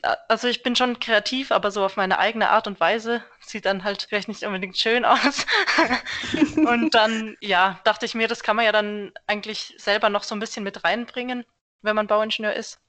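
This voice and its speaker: A young woman from Germany, a civil engineer answering interview questions in a relaxed, thoughtful way with many small fillers. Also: mid-range voice, normal speed. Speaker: podcast guest, civil engineer.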